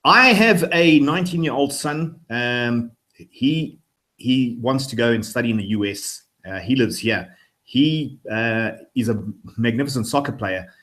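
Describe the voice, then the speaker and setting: A middle-aged man from Africa, answering interview questions on a webinar call in an upbeat, energetic way with many fillers. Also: medium pitch, measured pace. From South Africa. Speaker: guest, company founder.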